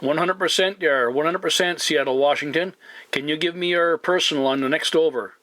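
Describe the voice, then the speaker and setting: A man's voice: a little high, fairly quick, noisy background. A man working as a CB radio operator and host, making formal, announcer-like contact calls with call signs, place names and phonetic spelling. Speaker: CB radio operator hosting a video channel.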